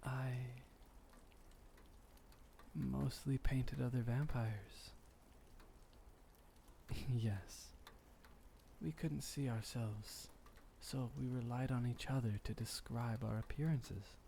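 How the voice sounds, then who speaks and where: A man playing a gentle, refined, centuries-old vampire boyfriend in intimate ASMR roleplay, soft-spoken and soothing with formal wording. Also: medium pitch, fairly even intonation, slow, vocal fry, pauses often, slight background noise. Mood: calm, reminiscing.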